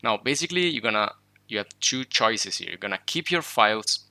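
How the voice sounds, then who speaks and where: Italian-native male tutorial host, gravelly and sharply bright, dry close mic, casual explanatory delivery. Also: lowish voice, a little fast, dry recording. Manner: relaxed, explanatory, with fillers and self-corrections. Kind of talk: screen-recorded tutorial walkthrough.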